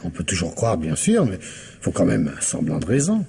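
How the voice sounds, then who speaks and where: An actor playing a probing, wry police commissioner in a French radio mystery, questioning insistently with feigned hesitations. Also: male voice, low-pitched, wildly swooping pitch, normal speed, creaky, mellow, full character voice. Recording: noisy old radio drama recording.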